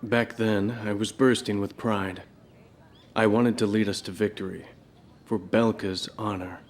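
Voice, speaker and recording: An actor playing a middle-aged former fighter ace, now a professor, who speaks in a measured, brooding, reflective way in a game cutscene. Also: male voice, lowish voice, level tone. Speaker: former fighter pilot interviewed.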